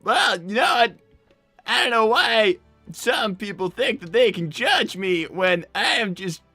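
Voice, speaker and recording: Young boy fox played by a performer, bright edgy tone, lively swooping pitch, hesitant, defensive, cartoonish. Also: measured pace, dry recording, faint music behind. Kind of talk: improvised cartoon character livestream.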